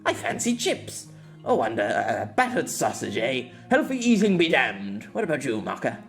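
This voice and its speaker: A man voicing a wistful, self-deprecating former sword master in an audiobook, reminiscing in a halting way. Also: medium pitch, huge pitch swings, creaky, faint music behind. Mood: cheerful.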